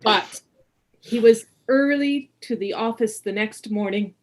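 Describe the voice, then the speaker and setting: A woman narrating a radio play as a storyteller, with measured, dramatic phrasing. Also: a little high, a little hiss or hum, lightly acted.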